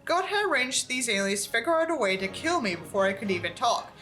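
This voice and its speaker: A woman reading a book aloud in an expressive, exasperated way, with sarcastic asides. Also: fairly high pitch, quick pace.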